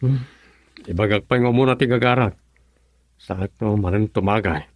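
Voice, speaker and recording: An actor playing an easygoing, broke husband who works construction, in a radio drama. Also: male voice, lowish voice, normal speed, no echo, natural voice.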